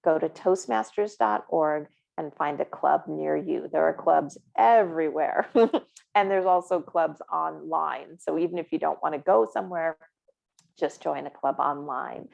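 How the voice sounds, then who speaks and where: A woman's voice: sits low, measured pace, creaky, a little mellow, muffled recording. A woman presenting a career workshop in an encouraging, upbeat way. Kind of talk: online career workshop talk.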